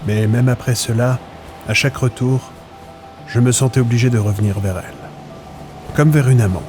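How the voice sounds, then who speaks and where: A man's voice: mid-range voice, normal speed, music in the background, natural voice. A man playing a melancholy old sailor worn by storms, speaking in a brooding, poetic way in a French audio drama. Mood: mournful.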